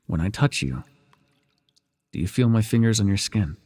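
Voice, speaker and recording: Breathy, low-voiced teasing villain mentor played by a man. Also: lively intonation, moderate pace. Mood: patient, instructing. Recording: reverberant indoor pool echo.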